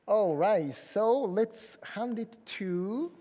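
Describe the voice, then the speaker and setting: High-voiced Japanese-accented man in a hall. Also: lively intonation, drawn-out words, warm tone. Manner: jokey, playful asides. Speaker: panel moderator and robotics engineer. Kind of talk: moderating a science panel. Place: conference hall.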